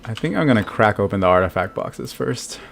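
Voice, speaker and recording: A man's voice: on the low side, lively intonation, fairly quick, creaky, a little hiss or hum. An American man in his thirties, chatting casually while streaming a game and answering his chat, laughing often. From United States. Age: 30s. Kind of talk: casual live-stream commentary.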